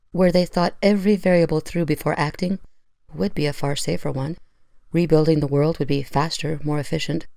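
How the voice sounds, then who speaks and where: A woman's voice: low-pitched, creaky, warm tone, dry recording. A woman playing an earnest, troubled analyst in an audio drama, subdued and thoughtful in her delivery.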